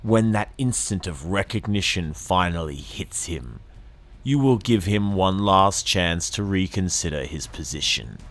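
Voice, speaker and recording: A man giving a dramatic audiobook-style reading of fiction in the second person, brooding and theatrical, with deliberate phrasing. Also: low-pitched, lively intonation, fairly bright tone. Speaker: narrator reading a fiction excerpt. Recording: voice over background music. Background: dramatic music.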